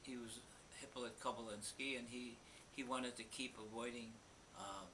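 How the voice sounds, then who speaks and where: An older American man being interviewed about his family's past, speaking haltingly with many restarts and pauses to search for words. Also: medium pitch, little pitch movement. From United States. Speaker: interviewee, parish committee member. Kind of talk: oral history interview. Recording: hissy recording.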